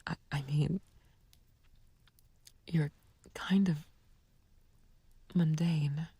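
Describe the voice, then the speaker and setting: A woman playing a flirtatious, taunting villain in an ASMR roleplay, speaking softly and breathily in a teasing, coaxing, languid way. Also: sits low, no echo, heavily acted. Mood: teasing, amused. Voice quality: breathy, whispery, soft. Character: flirtatious, taunting female villain sweet on a captive friend. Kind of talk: ASMR roleplay, intimate scripted acting.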